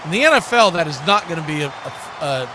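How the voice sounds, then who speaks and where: An American man doing live football play-by-play commentary, conversational between snaps and animated on big plays. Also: a little high, fairly quick, background noise. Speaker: play-by-play sportscaster.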